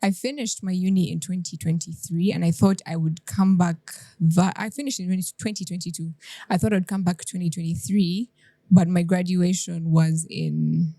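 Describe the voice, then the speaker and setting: Mellow-voiced young woman from Uganda. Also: lowish voice, fairly even intonation, normal speed, dry recording. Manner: earnest, often laughs, retells her own thoughts aloud. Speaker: guest. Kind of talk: casual interview chat.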